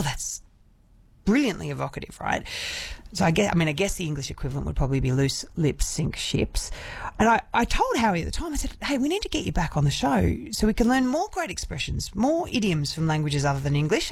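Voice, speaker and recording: Playful radio host, lively voice. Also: male voice, high voice, no echo. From grew up in Jakarta. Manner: playful, jokey, quick asides. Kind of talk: radio interview chat. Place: radio studio. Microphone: studio microphone.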